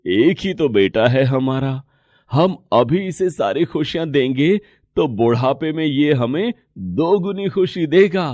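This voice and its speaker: Male actor as hardworking rickshaw-driver dad, earnest, determined, reassuring, unhurried delivery, dry close sound, moral story. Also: on the high side, animated, a little mellow, dry recording, muffled recording. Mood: earnest, resolute. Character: poor, determined rickshaw-driver father, devoted to his son. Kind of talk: Hindi moral story dialogue.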